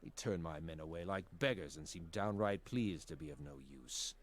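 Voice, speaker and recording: A man's voice: deep voice, moderate pace. An actor playing an anxious, haughty middle-aged nobleman and father in a fantasy game, with formal, indignant speech. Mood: bitter, urgent.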